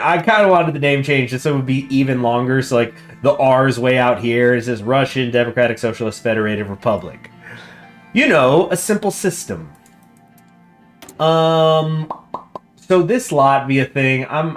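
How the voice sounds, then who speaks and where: A man casually commenting on his strategy game as he plays, thinking out loud and reading the in-game text aloud. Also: medium pitch. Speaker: gameplay commentator. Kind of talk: casual let's-play commentary. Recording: faint music underneath. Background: faint game music.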